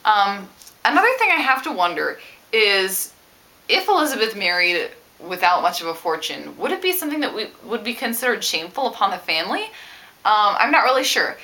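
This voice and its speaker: A woman's voice: medium pitch, big pitch swings, brisk, bright, forceful tone. A woman book vlogger talking casually to her viewers in a chatty, analytical, emphatic way. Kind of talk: casual book discussion to camera.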